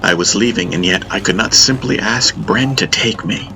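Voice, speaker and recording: A man narrating a first-person fantasy audiobook in deliberate, dramatic short phrases. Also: on the low side. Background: music.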